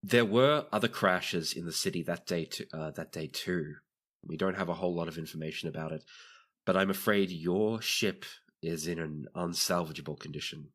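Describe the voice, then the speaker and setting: A man playing a calm, courteous doctor in a tabletop game, speaking in a measured, formal, reassuring way. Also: sits low. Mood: formal sympathy. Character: calm, courteous hospital doctor tending crash survivors.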